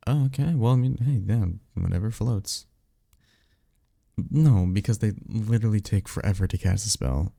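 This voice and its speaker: A man acts out a cocky vampire mentor for a roleplay audio, speaking in a deep, gravelly voice right up in a dry recording. He is easygoing and teasing, with slangy, conversational phrasing and the offhand confidence of a former archmage. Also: warm tone, no echo, exaggerated voice. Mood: casual, teasing.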